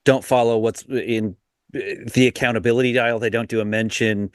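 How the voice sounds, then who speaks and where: A young man in a middle-pitched voice chats casually as a podcast co-host, rambling and restarting as he thinks. The sound is dry and close, with no room echo. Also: fairly even intonation, brisk. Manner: rambling, many restarts and laughs. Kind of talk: casual chat.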